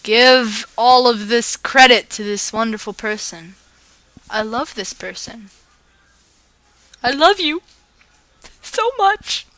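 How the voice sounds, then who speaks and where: A woman reading romantic fan fiction aloud in a casual, expressive amateur style, stumbling over words and restarting as she goes. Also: fairly high pitch, slow, pauses often, no echo. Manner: gushing asides.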